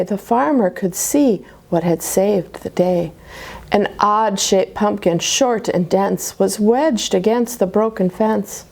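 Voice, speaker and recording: A woman reading a rhyming children's picture book aloud at a church story time, expressive, dropping to dramatic whispers. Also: fairly high pitch.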